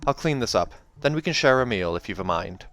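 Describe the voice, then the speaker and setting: A man's voice: lowish voice, animated, brisk, a bit bright, faint music behind. A man voicing a wary young wild woman in a storybook, speaking tersely and firmly with a wobbly put-on accent. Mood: guarded. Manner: terse, firm, rustic phrasing with uncertain put-on accent. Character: wary savage young woman living alone in wilderness.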